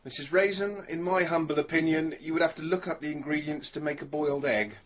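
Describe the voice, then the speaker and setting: A man's voice: fairly high pitch, fairly quick, lo-fi sound, lightly acted. A man playing a blunt, disapproving village official in a radio drama, formal and scornful.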